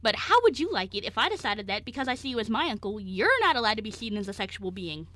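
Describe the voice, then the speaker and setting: A woman playing a cheeky, defiant eighteen-year-old queer young man in an amateur radio play, arguing in an animated way. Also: mid-range voice, fast talker, no room sound. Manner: animated, argumentative.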